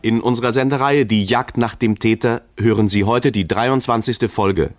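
A man's voice: medium pitch, steady tone, normal speed, close to an everyday voice. A man announcing a programme in formal 1950s radio style. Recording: loud music under vintage broadcast.

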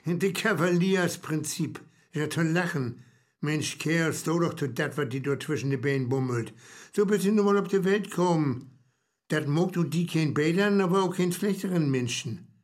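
An actor playing a mocking, blunt buddy in a conversational radio comedy, with a Northern German accent. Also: male voice, fairly high pitch, expressive, normal speed, close to an everyday voice. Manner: teasing, blunt. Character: mocking, needling male buddy who teases his earnest friend.

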